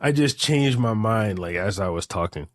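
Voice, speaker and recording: A man's voice: on the low side, lively intonation, moderate pace, dry recording. A man in his late twenties chatting casually and rambling through hypotheticals, repeating phrases emphatically with profane slang. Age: late 20s.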